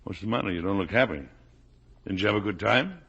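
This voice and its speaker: A man's voice: fairly low pitch, lively intonation, fast, a bit bright, highs cut off, heavily acted. A man acting in a 1950 radio drama as a middle-aged factory-owning father, folksy, blustering and defensive. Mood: falsely jovial. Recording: raspy old radio broadcast recording.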